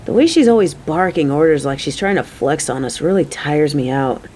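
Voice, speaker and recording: A woman's voice: sits low, animated, normal speed, darkish tone, a little hiss or hum. A woman playing a caring, playful coworker on a date in an audio roleplay, talking to the listener in a motherly, teasing, chatty way. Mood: grumbling.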